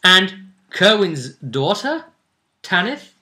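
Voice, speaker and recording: A man's voice: sits high, very animated, slow pace, bright, forceful tone. A man acting as game master, casually recapping the story of a tabletop role-playing game.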